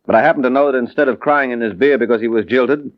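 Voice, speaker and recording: A man's voice: medium pitch, fast talker, natural voice. An actor playing a cool, skeptical hard-boiled tough guy in a 1950s radio crime drama, wry and clipped. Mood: wry suspicion. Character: cool skeptical male detective, and hard-boiled gambler crook. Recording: muffled old radio recording.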